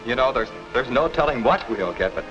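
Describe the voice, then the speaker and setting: An actor playing a sociable, easygoing young American boyfriend, chatty and agreeable, in a 1950 educational film. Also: male voice, mid-range voice, expressive, brisk, natural voice. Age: young adult. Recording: dull old film track, music behind.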